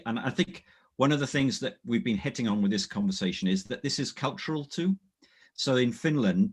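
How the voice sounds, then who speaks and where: Husky-voiced male panel moderator. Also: lowish voice. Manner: measured, polite, steering the discussion. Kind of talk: online panel discussion.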